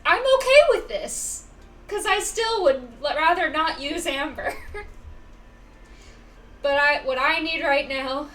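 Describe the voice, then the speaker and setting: High-voiced woman streamer, unhurried. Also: animated, fairly bright tone. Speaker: game streamer. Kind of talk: casual livestream chat while gaming. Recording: distant-sounding mic, faint background noise.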